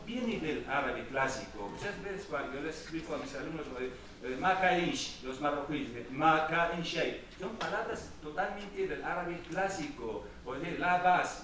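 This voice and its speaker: A man whose first language is Arabic, giving a university lecture with hesitant pauses and restarts. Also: a little high. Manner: hesitant, with many fillers and restarts. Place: lecture hall. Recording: echoey hall, mic far off, noisy.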